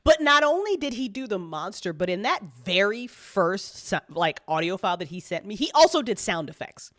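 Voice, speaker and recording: A woman's voice: mid-range voice, sing-song, normal speed, fairly bright tone. A woman telling stories in a casual chat, emphatic and slangy, swearing freely. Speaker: audio drama creator on a panel.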